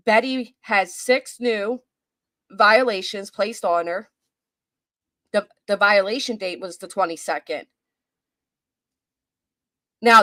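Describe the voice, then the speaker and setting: A woman's voice: medium pitch, fairly bright tone, no room sound. A woman hosting casual livestream commentary, blunt and sarcastic, coughing as she loses her voice. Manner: blunt, sarcastic, laughs and swears.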